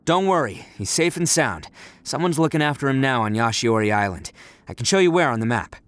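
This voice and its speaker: An actor playing a brash, big-hearted young hero in a video game, with a showy, boisterous manner. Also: male voice, medium pitch, lively intonation, brisk, dry recording, lightly acted. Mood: reassuring. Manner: boisterous, showy, self-satisfied.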